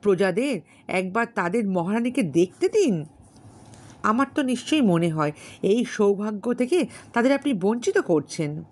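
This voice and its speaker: A woman voicing a brash, insistent young gentleman in an audiobook story, repeating his points with emphasis. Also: mid-range voice, lively intonation, normal speed, pitched above the performer's own voice, natural voice. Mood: pushy, indignant. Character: brash, pushy, cocksure young gentleman suitor. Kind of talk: dramatised literary audiobook dialogue.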